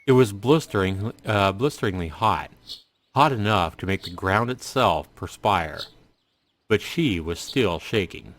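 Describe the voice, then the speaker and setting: A man's voice: low voice, a bit bright. A man narrating a story aloud in a dramatic storytelling style, as a host reading to viewers. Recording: music behind the voice. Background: music.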